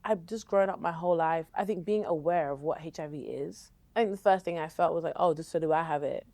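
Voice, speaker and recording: A woman's voice: low voice, fast, a little mellow, no room sound. A young woman speaking casually and emotionally to a parent, with many fillers like "like". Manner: chatty, emotional, uses lots of "like". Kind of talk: intimate interview conversation.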